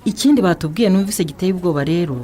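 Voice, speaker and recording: A woman's voice: fairly low pitch, animated, rapid-fire, dark tone, slight background noise, lightly acted. A Rwandan woman playing a knowledgeable community health informant in a radio drama, explaining things patiently. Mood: earnest, advising.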